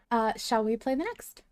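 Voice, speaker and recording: A woman's voice: on the high side, a little mellow, no echo. A woman co-hosting a podcast, talking casually and emphatically and swearing freely as she discusses a story. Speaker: podcast co-host. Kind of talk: casual fan discussion chat.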